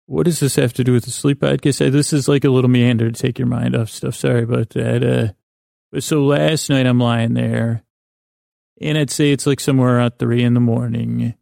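A middle-aged man delivers a rambling sleep podcast monologue in a creaky, gravelly voice. His pitch is fairly average and moves within a narrow span. He hesitates, restarts and drifts off mid-thought, and the sound is close and dry. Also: steady tone, fairly quick, a little mellow, no echo. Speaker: podcast host.

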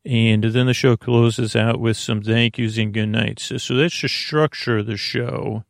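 A man speaks in a creaky, gravelly voice, recorded dry and close with no room sound. He hosts a bedtime show, wandering through his sentences in a lulling way, with frequent hesitations, stammers and restarts. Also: mid-range voice, steady tone, dry recording. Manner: halting, hesitant, stammering with repeated false starts; lulling, soothing. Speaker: podcast host. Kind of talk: rambling, meandering bedtime monologue.

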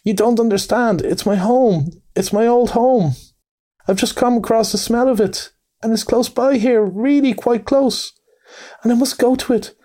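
A man voicing a small, timid male mole who pleads in a high, anxious voice. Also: normal speed, dark tone. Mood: pleading.

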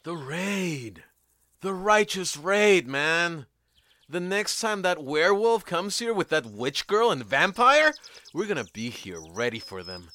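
A man's voice: medium pitch, bright, forceful tone, no echo. A man playing a pompous, self-righteous, scheming villain in a comic audio drama, declaiming bossily.

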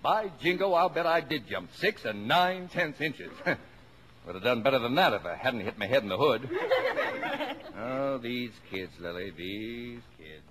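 Blustery, animated American father played by a man. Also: medium pitch, measured pace, natural voice. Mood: amused. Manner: blustery, exclamatory, mock-formal. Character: jovial, blustery father of a large brood. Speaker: actor in a radio drama. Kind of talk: mid-century American radio acting. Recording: noisy old radio broadcast recording.

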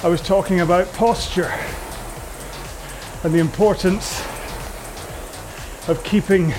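A man with a high-pitched voice leads a rowing workout over music. He talks casually and conversationally, rambling and joking as he coaches, and takes his time with a slow, broken-up delivery. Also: steady tone, poor audio.

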